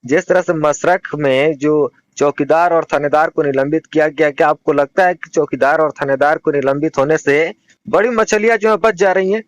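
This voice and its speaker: A man's voice: fairly high pitch, moderate pace, no room sound, highs cut off. A man working as a news interviewer, formally putting probing questions and cutting in on his guest.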